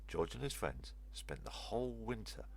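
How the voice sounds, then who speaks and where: A man's voice: fairly low pitch, sing-song, moderate pace, creaky, slight background noise. An older man narrating a children's story aloud as a storyteller, in short simple sentences.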